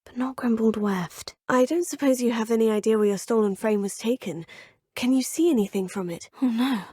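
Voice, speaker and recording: A woman's voice: a little high, a little fast, mellow, no echo, studio quality. An actress voicing a young female student witch in a video game, speaking in a polite, proper way. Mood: poised. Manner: polite, proper, crisp phrasing. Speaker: player character.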